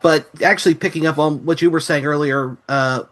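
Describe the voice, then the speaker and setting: A man's voice: fairly high pitch, fairly even intonation, brisk, a bit bright. A man talking through his opinions in an analytical podcast review discussion. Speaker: podcast panelist.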